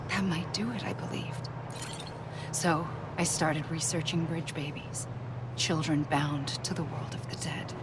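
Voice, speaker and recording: Woman acting a grave, low-voiced female leader, music behind. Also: natural voice. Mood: solemn, resolute. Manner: measured, reflective, solemn, with deliberate pauses. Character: weary, grave woman leader confessing her life's mission. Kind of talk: dramatic scripted monologue in a video game cutscene.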